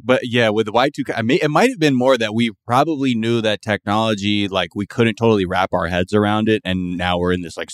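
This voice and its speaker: A man with a fairly low voice chatting on a podcast in a laid-back, profane way. He is recorded dry and close. He tells loose stories and swears casually. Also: clean tone, dry recording. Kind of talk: casual comedic chat.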